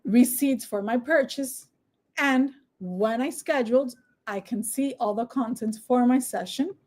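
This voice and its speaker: A woman from the Dominican Republic, a native Spanish speaker, speaking English with a Spanish accent and a somewhat high voice. She walks viewers through software on screen in a brisk, persuasive teaching style. She keeps stopping to check in with a quick "okay?". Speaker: online business coach. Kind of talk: screen-share tutorial and sales pitch.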